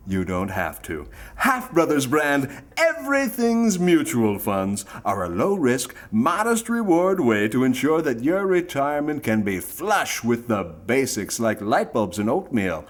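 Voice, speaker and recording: An actor playing a smooth-talking old-time radio pitchman, with a theatrical, mock-sincere sales delivery. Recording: music behind the voice.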